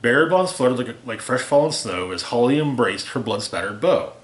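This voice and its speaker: Guy reading a rhyming xmas parody out loud, kinda theatrical, mid pitch, roomy echo. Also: expressive, a little fast. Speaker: storyteller reading a picture book aloud. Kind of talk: expressive read-aloud of a rhyming holiday parody. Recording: roomy, echoey home recording.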